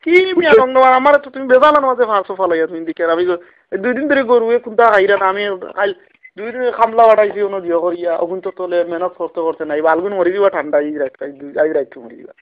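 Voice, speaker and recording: A man's voice: high voice, very fast, natural voice. A man with a Sylheti accent playing an aggrieved cattle buyer in a comic prank call, complaining insistently and repeating himself. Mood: complaining, pleading. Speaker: prank caller. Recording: muffled lo-fi phone call recording.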